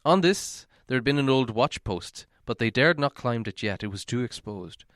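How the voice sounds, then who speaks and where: A man reading a story aloud as narration, in a measured, dramatic storytelling manner. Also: medium pitch, expressive, fairly bright tone, no echo, clean studio sound. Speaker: audiobook reader.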